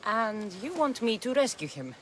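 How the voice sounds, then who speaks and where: A tough young female mercenary in a video game, speaking with a Greek accent. She is wry, dry and self-assured, and her pitch moves in an animated way. Faint ambient noise sits under the dialogue. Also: moderate pace, poor audio. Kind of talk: video game cutscene acting.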